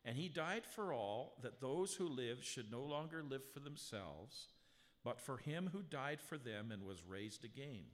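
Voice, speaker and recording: A man with a strong voice leads a sermon in a church sanctuary. He talks to the congregation with rhetorical questions and reads scripture slowly and with weight. The sound carries a long hall reverb, and his pitch sits at a middle level. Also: lively intonation. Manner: conversational preaching with rhetorical questions; slow, emphatic scripture reading. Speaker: pastor. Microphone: handheld or stand mic, sound adjusted live.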